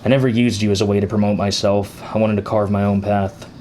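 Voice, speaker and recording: A man in his twenties voicing a remorseful young adult son, speaking in a quiet, even, confessional way in an audiobook. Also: on the low side, fast, slight background noise, lightly acted. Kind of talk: audiobook character reading.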